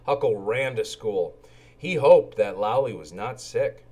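A man narrating a children's storybook in an expressive, animated way and acting out the characters' lines. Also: fairly low pitch, measured pace. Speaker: read-aloud storyteller for children.

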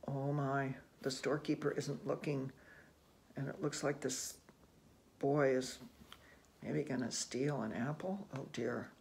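An older person reading a picture book aloud to children, gently and unhurriedly, with musing asides. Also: mid-range voice, fairly even intonation, creaky, a little hiss or hum. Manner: gentle, unhurried, musing with soft hmms and ohs. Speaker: children's read-aloud host. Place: small room indoors at home.

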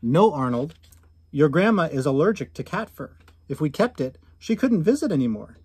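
A man's voice: a little high, very animated, normal speed, darkish tone, slightly rough, no room sound. A man reading as a firm storybook mother. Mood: firm, exasperated. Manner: emphatic, explanatory scolding.

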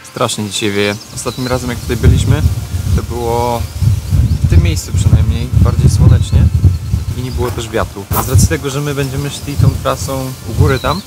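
A man's voice: medium pitch, very noisy. A Polish man giving casual travel vlog narration as host, speaking outdoors on a hiking trail. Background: music.

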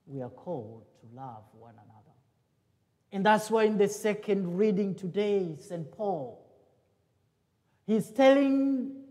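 Slow, earnest priest from Tanzania. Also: male voice, sits high, a little mellow. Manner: slow, earnest preaching with rising emphasis. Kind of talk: homily at Mass. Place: large church sanctuary. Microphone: far camera, church sound system. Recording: long church reverb.

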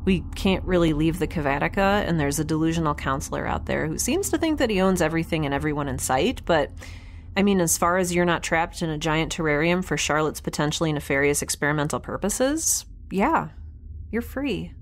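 A wry young woman scientist stranded in space , played by a woman for a sci-fi audio drama. Her voice sits a little low, and she talks in a hesitant, sardonic ramble over noticeable ambient noise. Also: animated, fairly quick. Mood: exasperated. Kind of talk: conversational audio drama acting.

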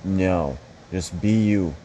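Low, mellow-voiced man cursing through gameplay. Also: takes it slowly, a little hiss or hum, rough recording. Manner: rambling, profane, joking asides. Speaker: mobile game player. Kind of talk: casual gameplay commentary.

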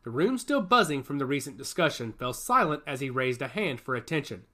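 A man's voice: medium pitch, big pitch swings, a little fast, sharp tone. A man narrating a story in a dramatic storytelling cadence.

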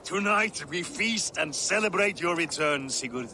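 An actor playing a cautious aging Viking king and father, measured and placating. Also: on the high side, animated, sharp tone, a little hiss or hum, full character voice. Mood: welcoming. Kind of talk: video game cutscene acting.

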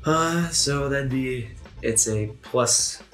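A man's voice: mid-range voice, extremely slow, a bit bright, faint music behind. A man chatting casually as a player in a tabletop game. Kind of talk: casual chat.

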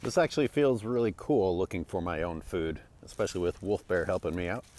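A man's voice: low voice, fairly quick, a little mellow. A young man giving scripted, tongue-in-cheek voice-over narration for his foraging vlog.

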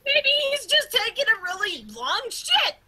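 A woman plays an excitable little girl in a comic cartoon dub. The voice is very high and piercingly bright, impish and lively in pitch. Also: measured pace, exaggerated voice. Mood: silly. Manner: impish, crude. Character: cheeky, excitable young girl in cartoon parody. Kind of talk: improvised comic cartoon fandub.

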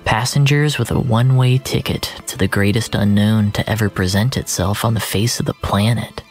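A narrator reading scripted first-person fiction in a measured, literary storytelling manner. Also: medium pitch, steady tone. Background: music.

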